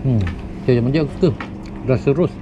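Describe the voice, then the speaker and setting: A man's voice: medium pitch, fast talker, highs cut off, background noise. A young man with a Malaysian Malay accent, chatting casually to viewers while fishing at night on a wooden sea platform, filmed on an action camera. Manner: upbeat, chatty, addresses viewers as guys. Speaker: fishing vlogger. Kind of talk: casual vlog commentary.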